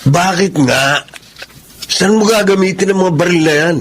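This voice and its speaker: Exasperated, high-voiced husband. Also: male voice, normal speed, fairly bright tone. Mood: alarmed. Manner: earnest, reproachful. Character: honest, exasperated poor husband and father. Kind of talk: Tagalog radio drama acting. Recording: noticeable background noise.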